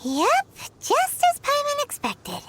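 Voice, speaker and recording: Tiny, extremely squeaky childlike fairy. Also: female voice, wildly swooping pitch, slow, music in the background, exaggerated voice. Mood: matter-of-fact. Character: tiny childlike fairy companion, cheeky, excitable, third-person talker. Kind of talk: video game voice acting.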